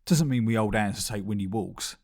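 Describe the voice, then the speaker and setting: A man's voice: on the low side, brisk, darkish tone, close to an everyday voice. A man playing a wry small-time London boxing promoter, narrating in crime comedy film style with a London accent. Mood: wry, matter-of-fact.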